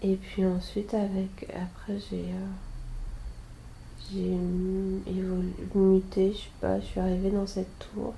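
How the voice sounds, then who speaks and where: A woman under hypnosis recalling a past life, speaking slowly and haltingly and trailing off into quiet murmurs. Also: medium pitch, completely flat delivery, gravelly, roomy sound, a little hiss or hum, poor audio. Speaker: hypnosis client.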